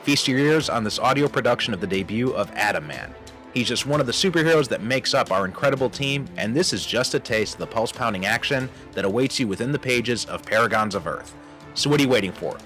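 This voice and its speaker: A man's voice: medium pitch, a bit bright. A man hosting, reading a scripted promotional announcement in an emphatic, hype-filled way. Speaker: host and comic writer.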